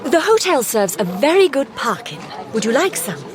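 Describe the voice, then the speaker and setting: A precise, ironic Victorian detective, lively pitch, with clear noise behind. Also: female voice, normal speed. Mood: composed, shrewd. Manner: polite, precise, quietly ironic. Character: shrewd, composed Victorian lady detective. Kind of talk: radio drama acting.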